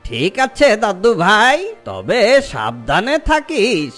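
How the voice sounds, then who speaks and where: A woman voicing a doting old ogre grandfather in a fairy-tale cartoon, gently coaxing and cautioning. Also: medium pitch, wildly swooping pitch, soft background music, exaggerated voice. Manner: slow, coaxing, affectionate address to a grandchild.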